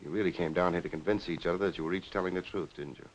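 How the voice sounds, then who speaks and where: An actor playing a hard-nosed police lieutenant in a 1940s crime film, curt, dry and probing. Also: male voice, low voice, racing, rough recording. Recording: hissy 1940s film soundtrack.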